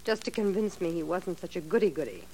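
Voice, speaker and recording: A woman's voice: fairly low pitch, expressive, quick pace, mellow, slight background noise, poor audio. An actress playing a sardonic young woman killer in a 1940s radio drama, drawling and sarcastic. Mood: bitter. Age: young adult. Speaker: murder suspect.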